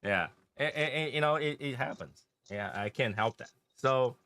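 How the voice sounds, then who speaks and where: A man's voice: mid-range voice, animated, normal speed, sharp tone. A man with a Taiwanese accent hosting a casual group chat, often repeating phrases for emphasis. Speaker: host and leader of an online cigar community.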